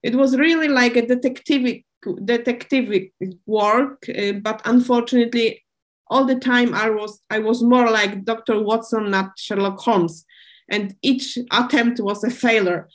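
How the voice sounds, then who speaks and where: A woman historian speaking English as a with many pauses, fillers and restarts, describing her archival work on a panel. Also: fairly high pitch, fairly even intonation. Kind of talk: panel discussion answer.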